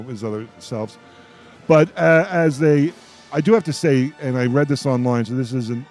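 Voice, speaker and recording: A man's voice: mid-range voice, a little mellow. Opinionated man in casual panel talk. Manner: halting, repeats words, opinionated. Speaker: roundtable host on a radio show.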